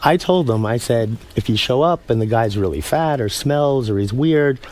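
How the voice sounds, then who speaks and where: A man's voice: mid-range voice, expressive, normal speed, darkish tone. A mild-mannered man telling his story candidly and conversationally as an interview guest.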